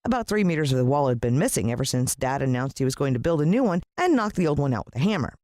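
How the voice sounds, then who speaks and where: An American woman narrating a children's story in an expressive, dramatic storytelling manner. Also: extremely low pitch, fast talker, no echo, clean studio sound. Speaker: audiobook narrator.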